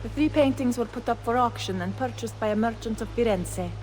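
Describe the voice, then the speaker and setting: A woman's voice: a little high, a little fast, a little mellow, slight background noise, natural voice, rough recording. An actress playing a sorrowful woman of a ruined household in a video game, plaintive and formal with an Italian accent. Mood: resigned, sorrowful. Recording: music behind the voice.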